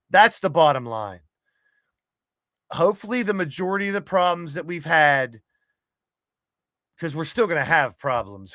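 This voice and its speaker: Bright, blunt male podcast host. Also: on the high side, no room sound, clean studio sound. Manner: blunt, sweary, rambling. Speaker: podcast host and wrestling game streamer. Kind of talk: casual monologue to an online community.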